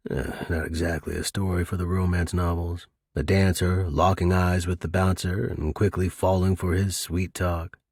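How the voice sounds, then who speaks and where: A weary middle-aged tough guy played by a male reader tells his own noir story in a very deep, husky voice. He is wry and clipped in a hardboiled way, with tender regret underneath. The recording is dry and close. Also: darkish tone, no echo. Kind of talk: first-person noir audiobook narration.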